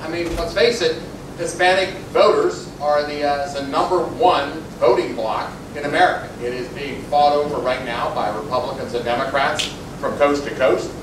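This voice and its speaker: A man addresses supporters with hesitant fillers between his lines. His voice sits slightly high. He is heard from a distance in a heavily echoing room, and a noisy rumble runs underneath. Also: normal speed. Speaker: party official speaking to supporters. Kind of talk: political speech. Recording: echoey room from far off, noisy.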